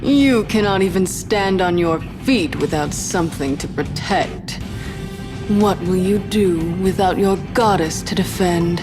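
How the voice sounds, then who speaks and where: A woman's voice: fairly low pitch, measured pace, natural voice. A woman playing a haughty, menacing villainess of darkness in a video game, speaking in a grandiose, taunting manner. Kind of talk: scripted video game drama acting. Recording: music under the voice.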